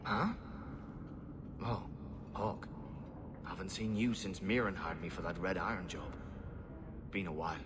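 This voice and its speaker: An actor playing a wary but friendly mercenary poison maker, talking in a casual, clipped, streetwise way in a video game. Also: male voice, low voice, fairly even intonation, a little slow, long pauses, background noise. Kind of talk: video game drama acting.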